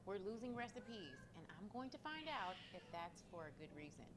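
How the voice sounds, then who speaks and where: A woman's voice: medium pitch, very animated, a little fast, noisy background. A woman presenting a recipe video in scripted narration, played back from an online clip.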